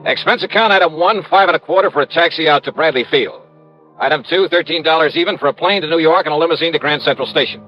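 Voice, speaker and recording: An actor playing a brisk, wry, skeptical American insurance investigator in 1950s radio drama style, clipped and probing. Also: male voice, on the high side, soft background music, natural voice. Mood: brisk, businesslike. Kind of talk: 1950s radio detective drama acting, narration and dialogue. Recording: dull, band-limited old radio recording.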